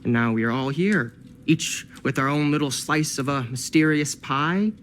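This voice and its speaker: A man's voice: on the high side, moderate pace, fairly bright tone, a little hiss or hum, natural voice. Man playing a slick, wry collector. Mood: knowing, dramatic. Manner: glib, teasing, folksy. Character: slick, wry, pushy male film collector.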